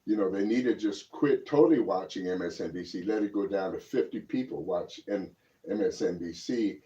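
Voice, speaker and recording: A man with a low, mellow voice talks politics as a show co-host. He is emphatic and opinionated, rambling and doubling back on his words. The audio is muffled, as if he sits away from the microphone. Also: a little fast, recorded from a distance. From born in Germany. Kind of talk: casual political commentary.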